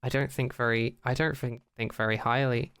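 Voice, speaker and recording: A man with a bright, clean voice at an ordinary pitch chats casually as a podcast co-host. He is skeptical and opinionated, and he often doubles back to reword his point. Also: fairly even intonation, normal speed, smooth. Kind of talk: casual unscripted podcast chat.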